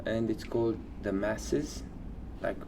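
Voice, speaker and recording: Slow-paced man in casual podcast chat. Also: on the low side, vocal fry, background noise. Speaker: podcast co-host. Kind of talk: casual chat, sharing odd facts.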